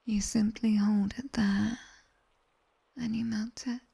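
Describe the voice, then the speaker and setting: A British woman voicing a sharp-tongued nightmare demoness tutor in a soft, breathy ASMR whisper, measured and gently commanding as she guides relaxation. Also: a little high, little pitch movement, exaggerated voice. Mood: hypnotic, soothing.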